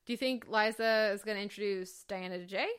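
A woman hosting a relaxed, conversational review of television, asking questions and sharing her own opinions. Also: medium pitch, fairly quick, smooth. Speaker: podcast host. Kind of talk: casual TV review chat.

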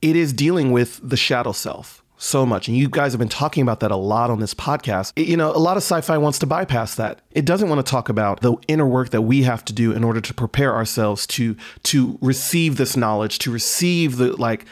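A man's voice: medium pitch, a little fast, few pauses. A man speaking earnestly and philosophically in casual conversation as a podcast guest, often checking in as he goes. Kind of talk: reflective conversational discussion.

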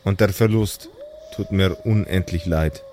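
A man playing a calm, remorseful supervillain in an improvised audio role-play, speaking slowly and solemnly. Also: low voice, a little hiss or hum. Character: weary, remorseful male supervillain Magma Man, calm and relaxed.

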